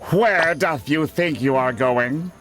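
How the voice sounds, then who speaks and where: A man's voice: fairly high pitch, takes it slowly, fairly bright tone, faint music behind. A man voicing a pompous, sour middle-aged squid in a cartoon game, nasal, haughty and theatrical. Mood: haughty, disdainful. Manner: haughty, theatrical, mock-archaic. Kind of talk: animated cartoon game voice acting.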